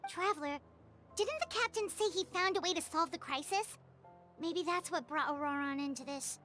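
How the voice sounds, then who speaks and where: A woman's voice: very high voice, normal speed, bright, forceful tone, faint music behind. A woman voicing a small, childlike floating fairy companion in a video game, cutesy, exclamatory and earnest. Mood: concerned.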